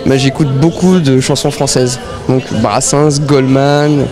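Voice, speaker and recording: A man's voice: mid-range voice, fairly even intonation, slow pace, over music. A man in his thirties, a singer being interviewed at a concert, answering hesitantly with shy little laughs. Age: 30s. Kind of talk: casual interview. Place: concert venue.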